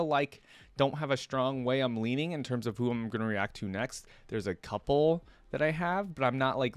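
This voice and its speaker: A man's voice: mid-range voice, clean tone, no echo. A man hosting a reaction video, talking casually and enthusiastically as a fan, with rambling asides. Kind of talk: casual fan commentary, chatty.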